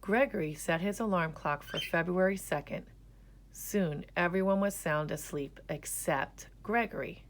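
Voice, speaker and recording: A woman reading a children's storybook aloud in an expressive narrating style. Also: fairly low pitch, unhurried, slight background noise. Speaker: storybook reader.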